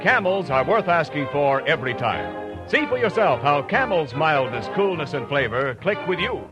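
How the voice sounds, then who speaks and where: Upbeat radio announcer over music. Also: male voice, fairly high pitch, very animated, moderate pace. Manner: upbeat, polished announcer delivery. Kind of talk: scripted commercial announcing. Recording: 1940s radio broadcast with music.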